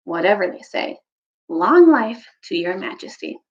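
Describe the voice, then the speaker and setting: Woman reading as an earnest, insistent old badger, lively swooping pitch. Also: unhurried, dull audio. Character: loyal, earnest old talking badger, firm believer.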